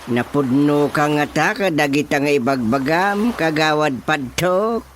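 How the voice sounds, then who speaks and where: A man's voice: fairly high pitch, measured pace, a little hiss or hum, close to an everyday voice. An actor with an Ilocano Filipino accent playing an exasperated, exclaiming village chairman in a radio comedy drama. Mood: scolding. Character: excitable village chairman, exasperated comic official.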